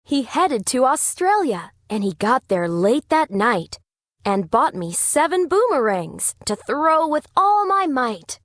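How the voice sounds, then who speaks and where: A woman with a fairly high voice reads rhyming children's verse as narrator. Her pitch moves around a lot, her pace is unhurried, and the recording is dry. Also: very animated, fairly bright tone, no echo. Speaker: storybook narrator. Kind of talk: rhyming children's read-aloud.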